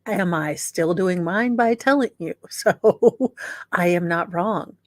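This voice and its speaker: A woman's voice: on the low side, slow, no room sound, clean studio sound. A woman host doing a dramatic reading of letters, with sardonic, mocking asides.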